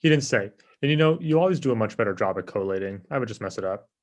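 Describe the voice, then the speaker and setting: An actor at a comedy table read playing a lazy, self-absorbed office coworker in a casual, dismissive way. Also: male voice, lowish voice, lively intonation, quick pace, close to an everyday voice. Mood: breezy, evasive.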